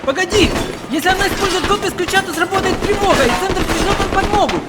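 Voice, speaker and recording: A nervous soldier, rapid, high-pitched; heavy score. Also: male voice, very noisy. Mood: agitated. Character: nervous adult male Russian-speaking guard. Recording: game cutscene with loud music.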